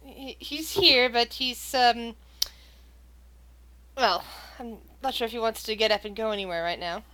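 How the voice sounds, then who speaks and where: A woman's voice: a little high, measured pace, pushed and bright. A woman voicing a wry silver tiefling man. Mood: easygoing.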